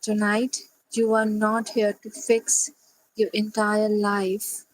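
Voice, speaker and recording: Very slow, even-toned woman guiding meditation. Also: medium pitch, rough recording. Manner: gentle, unhurried, soothing delivery with long pauses. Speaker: meditation guide. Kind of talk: slow guided sleep meditation.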